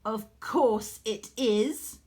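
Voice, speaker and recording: Woman doing a shrill, smug young braggart. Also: extremely slow, warm tone. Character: smug, boastful young mortal weaver woman, taunting. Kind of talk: dramatised storybook reading.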